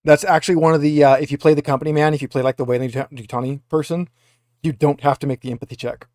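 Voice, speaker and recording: A man with a slightly higher-than-average voice runs a tabletop roleplaying game. He narrates and explains in an informal way, and the sound is dry with little room in it. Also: steady tone, fast, darkish tone, no echo. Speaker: game master.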